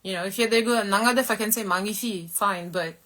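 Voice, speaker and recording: Woman, 30s, from Korea, quick and candid, self-deprecating live-stream host. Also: medium pitch, bright, forceful tone. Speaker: model and livestream host. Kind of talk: casual live-stream chat.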